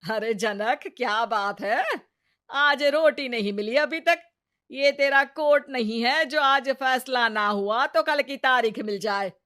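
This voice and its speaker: A woman voicing a sharp-tongued, nagging mother-in-law in a Hindi cartoon story, theatrical and taunting. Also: on the high side, slow pace. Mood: scolding.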